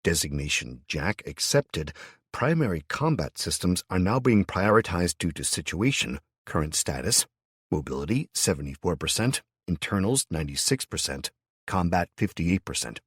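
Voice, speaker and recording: Man reading as a flat machine system. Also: low voice, moderate pace, husky, no room sound, clean studio sound, heavily acted. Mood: monotone.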